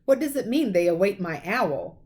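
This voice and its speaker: A woman's voice: medium pitch, lively intonation, moderate pace, darkish tone, close to an everyday voice. A woman voicing a polite young boy in a storybook, timid and full of hesitant questions. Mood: curious.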